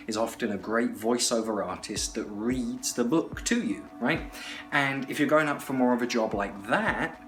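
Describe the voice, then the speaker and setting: A man hosting an audio tutorial, explaining things informally in a conversational, rambling way and often repeating himself. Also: on the low side. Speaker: host of an audio tutorial channel. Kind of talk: informal explainer talk to camera.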